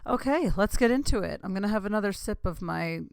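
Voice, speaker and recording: A woman's voice: medium pitch, animated, quick pace, gravelly, smooth, no room sound. A woman in her thirties hosting her own podcast, chatting in a rambling, giggly way with lots of asides. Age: 30s. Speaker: podcast host. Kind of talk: casual solo podcast chat.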